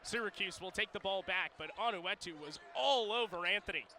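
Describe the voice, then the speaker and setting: A man's voice: high-pitched, brisk, bright, forceful tone. A man doing radio basketball play-by-play in clipped, descriptive phrases, punching up the big plays. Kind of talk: radio sports play-by-play commentary. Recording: noisy arena with bouncing basketballs.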